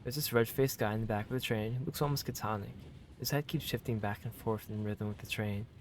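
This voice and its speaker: A man's voice: fairly low pitch, quick pace, slight background noise. A man doing a visual novel let's play, reading aloud and reacting casually with slangy, effusive comments and swearing. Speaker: game streamer, let's player.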